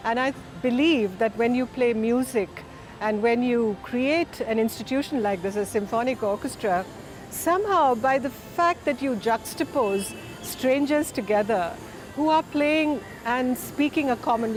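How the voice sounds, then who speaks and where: A middle-aged woman speaking in a measured, reflective way in a documentary interview. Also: on the high side. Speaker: founder of the orchestra foundation.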